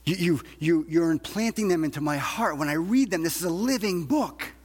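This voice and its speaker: Male evangelical preacher, rough-edged voice, emphatic and repetitive sermon delivery, big echoing hall. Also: fairly high pitch, expressive, normal speed. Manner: emphatic, repeats phrases with rhetorical questions. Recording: long hall reverb.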